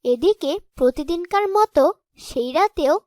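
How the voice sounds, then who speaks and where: A woman's voice: high-pitched, lively intonation, unhurried, a little mellow, dry recording, clean studio sound. A woman storyteller giving scripted fairy-tale narration for a cartoon.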